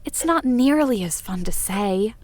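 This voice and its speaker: A woman's voice: fairly high pitch, animated, a little slow, a little mellow. A woman playing an exuberant, bossy, playful friend with a theatrical, wordy manner in a fiction podcast. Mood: dramatic, coaxing.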